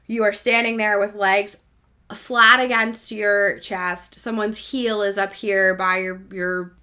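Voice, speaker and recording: A woman in her thirties telling a personal story as a podcast host, in a casual, candid, animated and self-mocking way. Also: medium pitch, slow pace.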